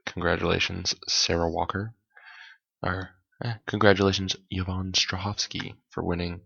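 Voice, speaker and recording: Hoarse, deep-voiced man hosting a podcast. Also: fairly bright tone, dull audio. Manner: rambling and self-correcting, with light jokes. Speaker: podcast host. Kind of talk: casual solo podcast talk.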